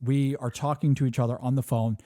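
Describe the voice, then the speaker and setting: Podcast host from michigan, mid-pitched, rambling earnest story, dry close mic. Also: male voice, steady tone, brisk, warm tone, no echo. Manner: earnest, rambling, repeats words while telling a story. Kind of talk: casual chat, personal storytelling.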